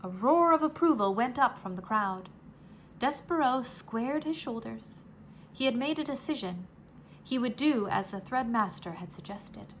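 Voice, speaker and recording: Female storybook reader, unhurried and expressive, higher voice; faint hiss. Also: low-quality recording. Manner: slow, expressive, drops to a whisper for quiet moments. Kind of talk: children's read-aloud narration.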